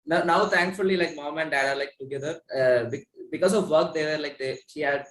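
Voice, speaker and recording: A young man with a South Indian accent chatting casually as an interview guest, halting and often saying "like.". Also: a little high, a bit bright, slight background noise. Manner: halting, filler-heavy with many "like". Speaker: pop musician, interview guest. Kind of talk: casual chat. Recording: echoey room.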